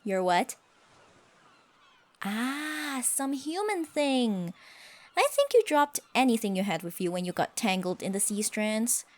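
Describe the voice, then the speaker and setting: A woman voicing a proud, scoffing tsundere siren with stiffly formal phrasing in an ASMR roleplay. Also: fairly high pitch. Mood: scornful, haughty. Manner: haughty, scoffing, flustered stammers, archaic formal phrasing. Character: haughty tsundere siren, proud, secretly smitten sea creature.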